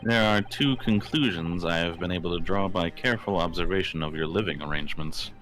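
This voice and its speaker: Man as self-assured gentleman detective: low register, pompous formal diction, faint music underneath. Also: fairly quick. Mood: pompous, deducing. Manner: theatrical, formal, pompous delivery. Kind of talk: amateur fandub of a video game. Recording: music playing behind the voice.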